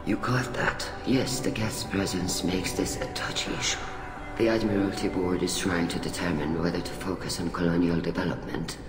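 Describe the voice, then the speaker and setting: An actor playing a weary, fatherly older alien admiral in a video game, speaking in a measured, formal way. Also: deep voice, steady tone, close to an everyday voice. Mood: grave, resolute. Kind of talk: video game dialogue acting. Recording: echoey hall reverb, music behind.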